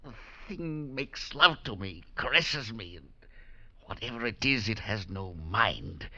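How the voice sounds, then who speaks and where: A scholarly professor at the end of his nerves, his voice bright and sharp, swinging widely in pitch. It comes through a dull, lo-fi vintage radio broadcast. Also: animated, unhurried, heavily acted. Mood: anguished. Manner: intense, anguished, rising to outbursts. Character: haunted male professor, scholarly, tormented and fraying. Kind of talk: 1940s radio acting.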